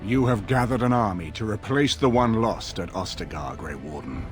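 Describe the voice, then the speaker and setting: A grave older man played by a voice actor speaks solemnly for a fantasy game. His voice sits fairly low, with faint music behind it. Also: animated, moderate pace, lightly acted.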